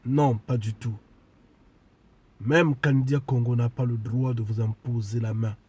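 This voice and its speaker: A man preaching a sermon to his followers while congested with a cold, speaking deliberately and emphatically and repeating key phrases. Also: medium pitch, steady tone, darkish tone, husky. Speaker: spiritual preacher.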